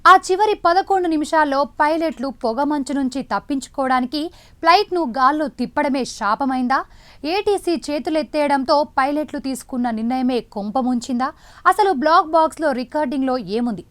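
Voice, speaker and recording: A woman with a noticeably high voice narrates a news report. Her scripted delivery is dramatic and emphatic, in the manner of a news presenter. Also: brisk.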